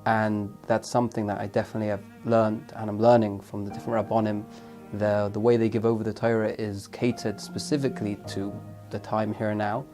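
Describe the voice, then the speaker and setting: A man's voice: on the low side, level tone, fairly quick, few pauses, dark tone, faint music behind. Earnest young man. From London, England. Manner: earnest, reflective, frequent restarts. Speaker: teacher trainee. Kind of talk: testimonial interview.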